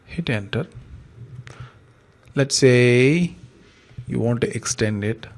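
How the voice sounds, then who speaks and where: A man giving an informal step-by-step software tutorial in a conversational, explanatory way, with a gravelly, husky voice. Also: medium pitch, animated, slow pace. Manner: explanatory, conversational, uses examples. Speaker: software trainer, instructor.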